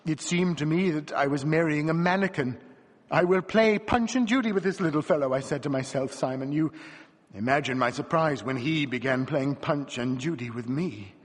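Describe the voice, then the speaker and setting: A man's voice: a little high, normal speed, natural voice. A man voicing a gaunt, weary peasant woman who laments her lot in a plaintive, dramatic way, as part of a story reading. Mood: weary, lamenting. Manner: lamenting, dramatic, sighing old-fashioned speech. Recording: reverberant hall with long echo.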